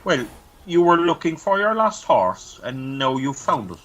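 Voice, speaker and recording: A man's voice: a little high, very animated, unhurried, fairly bright tone, low-quality recording. A man acting an easygoing, dismissive Irish village policeman in an amateur radio play, breezy and placating. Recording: faint background hiss.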